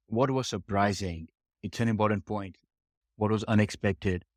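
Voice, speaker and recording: A man's voice: mid-range voice, dry recording, studio quality. A man teaching a test preparation lesson, explaining step by step and repeating phrases for emphasis. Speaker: English test preparation instructor.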